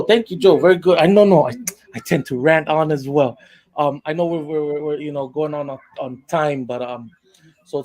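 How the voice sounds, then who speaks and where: A man's voice: on the high side, a little mellow, poor audio. Earnest Hawaiian man on a live panel. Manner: earnest, builds to passionate emphasis. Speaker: panel guest.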